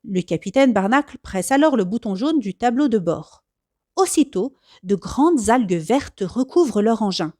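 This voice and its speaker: Animated woman reading a children's story. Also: a little high, moderate pace, no echo, clean studio sound. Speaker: storybook reader. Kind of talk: expressive scripted narration for children.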